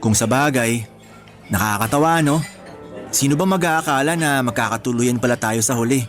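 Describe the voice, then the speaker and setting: An actor playing a gentle, sincere young man in love in a Filipino radio romance, earnest and lightly teasing. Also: mid-range voice, normal speed, natural voice. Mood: earnest, reflective. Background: music.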